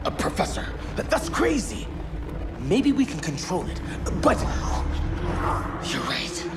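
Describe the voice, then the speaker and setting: A man's voice: high voice, huge pitch swings, slow pace, noisy background, heavily acted. An actor playing an anxious but heroic young male superhero in a video game, urgent and colloquial. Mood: alarmed, uncertain. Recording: loud music behind.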